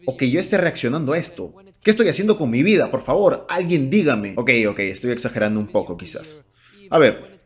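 A man in his thirties casually reacting to gym videos from a home office desk mic, mocking and incredulous as he talks to his viewers. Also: mid-range voice. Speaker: fitness YouTuber reacting to videos. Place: home office with bookshelves, indoors. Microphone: desk mic on arm, wearing earphones.

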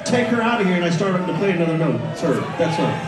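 A man, a country singer with a slightly raspy voice, doing crude, brash stage banter and shouting at the crowd from a concert stage. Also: sits high, animated, quick pace, very noisy. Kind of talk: profane stage banter between songs. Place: large concert hall, on stage. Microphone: stage vocal mic, recorded from the crowd on a phone. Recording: distant, echoey phone recording of a loud concert. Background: loud band and cheering crowd.

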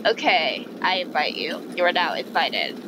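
A woman voicing a laid-back young female crocodile in a cartoon game, speaking in a flat, dry way. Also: normal speed, heavy background noise, natural voice. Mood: dry, deadpan. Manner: low, flat, dry delivery.

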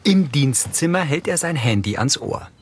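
A man's voice: fairly high pitch, animated, normal speed, slight background noise. A man reading scripted audio description for a TV drama in short narrative sentences.

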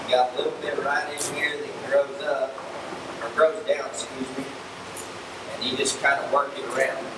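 A middle-aged man, a show judge explaining how he grooms a goat for show in a relaxed way, with frequent ums and restarts. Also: mid-range voice, normal speed. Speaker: livestock fitting instructor and show judge. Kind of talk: demonstration tutorial. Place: large indoor barn arena. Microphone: far camera mic. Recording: echoey barn from far off with air conditioning hum.

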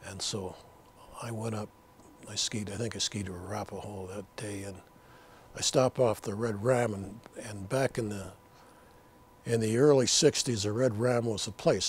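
An older man from Colorado, a landscape artist, reminiscing in an interview in his art studio, with rambling, halting speech full of fillers and restarts. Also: lowish voice, a little hiss or hum. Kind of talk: reflective interview talk.

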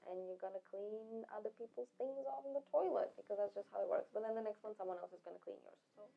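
A woman's voice: medium pitch, fast, vocal fry, muffled recording. A young woman speaking in a matter-of-fact way with some restarts, as a student in a documentary interview. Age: young adult.

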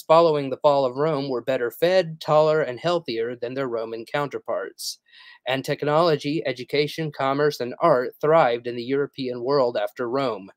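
A man in his thirties teaches over a livestream from a small room at home. He speaks earnestly in a middle-pitched voice, pausing with "uh" as he reads long quotes. The sound is dry and close, from a desk mic. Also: no room sound. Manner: earnest, explanatory, frequent "uh" fillers.

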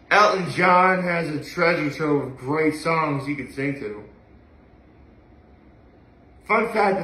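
A man's voice: fairly high pitch, sharp tone. A young adult man chatting casually to camera at home in a gushing way, repeating phrases for emphasis. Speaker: video blogger. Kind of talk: casual solo chat to camera. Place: living room at home, indoors. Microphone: camera or phone a few feet away. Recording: echoey living room.

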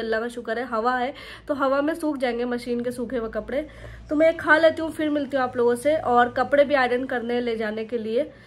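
High-voiced woman from bangalore vlogging her day, chatty, talks to viewers like friends, faint vehicle hum. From Bangalore, India. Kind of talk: casual vlog narration.

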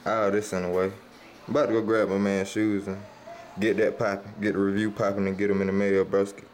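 A man's voice: lowish voice, level tone, quick pace. A young man vlogging to a handheld camera in his car and at a mall, talking loosely and calling the viewers bro. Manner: loose slangy talk, calls viewers bro. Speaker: sneaker vlogger. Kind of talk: casual vlog talk to camera. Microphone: handheld camera at arm's length. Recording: loud background noise.

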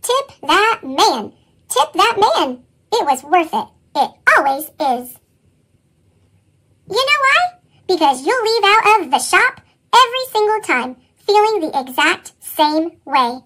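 Perky cartoon chipmunk storyteller: very squeaky, animated, pitch-shifted, for expressive picture book read-alouds. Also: female voice, heavily acted. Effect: sped-up chipmunk pitch shift. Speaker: storybook reader.

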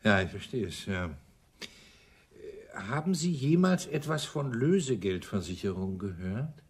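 An actor playing a dogged, sarcastic police inspector who questions in a probing, dry way, in radio crime drama style. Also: male voice, fairly low pitch, sing-song, measured pace, distant mic.

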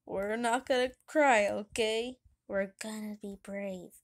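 A woman voicing a loyal, soft-hearted, tearful police chief who pleads and stammers in a children's comic story. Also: mid-range voice, steady tone, slow. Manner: pleading, stammering, trying to be brave. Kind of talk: children's comic read aloud.

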